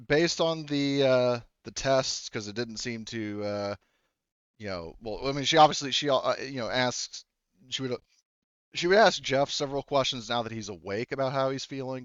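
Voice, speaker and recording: A man narrating a tabletop roleplaying game as game master in a halting way, speaking for characters in his own voice. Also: mid-range voice, fairly bright tone, clear, resonant tone, no echo, lo-fi sound.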